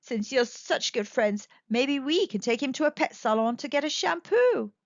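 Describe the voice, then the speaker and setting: A woman's voice: high voice, lively intonation, normal speed. A woman voicing a kindly, genteel old lady who fusses over cats in a children's storybook. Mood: generous. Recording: slightly dull, echoey home recording.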